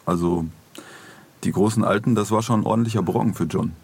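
A man's voice: fairly low pitch, a little fast, a little mellow, slightly rough. A man chatting casually as a podcast co-host, with dry, playful joking.

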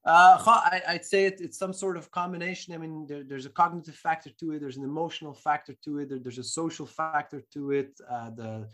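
A marketing professor answering interview questions thoughtfully, with frequent restarts and fillers. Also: male voice, fairly high pitch, steady tone, fairly quick, hardly any pauses, fairly bright tone. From Belgium.

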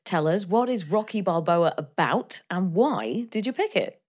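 A woman's voice: lowish voice, lively intonation, unhurried, a little mellow, breathy edge. A woman hosting a film podcast in casual, chatty conversation. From Wales. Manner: chatty, laughs easily. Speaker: podcast host. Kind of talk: casual chat.

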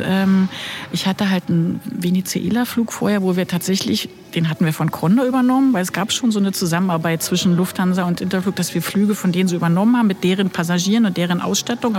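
A woman's voice: medium pitch, a little mellow. A woman, a guest telling stories in a casual interview, chatty and full of fillers. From Berlin. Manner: chatty storyteller with many fillers. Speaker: guest, former flight attendant.

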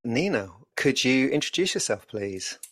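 A man hosting a podcast, asking questions in a relaxed, conversational way with light joking asides. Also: mid-range voice, normal speed, dry recording, clean studio sound. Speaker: podcast host. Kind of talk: conversational interview.

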